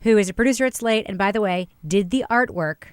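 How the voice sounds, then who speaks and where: A woman with a clear, smooth voice hosts a casual podcast panel. Her pitch is average and the sound is dry and close. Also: fast talker, no echo. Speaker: podcast host.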